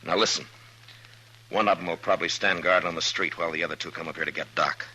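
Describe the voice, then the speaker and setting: An actor playing a stern, steady American marshal of the Old West in 1950s radio western style, speaking firmly and deliberately. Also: male voice, deep voice, slightly rough, full character voice, poor audio. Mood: commanding. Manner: firm, deliberate, commanding. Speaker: lead actor and first-person narrator. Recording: old radio broadcast with music.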